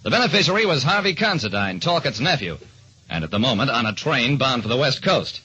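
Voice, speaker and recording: A man's voice: medium pitch, very animated, brisk, pushed and bright, breathy edge, full character voice. An actor, a man, playing a hard-boiled private detective who narrates his case in a clipped, dramatic old-radio style. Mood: wry, dramatic. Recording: dull old-time radio recording.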